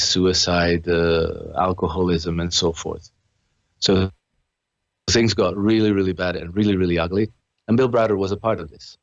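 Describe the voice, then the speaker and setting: A man from Croatia with a very low voice talks earnestly as a podcast guest, restarting his phrases and filling pauses as he goes. The sound is dry and a little dull, with a lo-fi edge. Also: dry recording. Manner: earnest, with many restarts and fillers. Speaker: podcast guest, author and trader. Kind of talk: conversational interview.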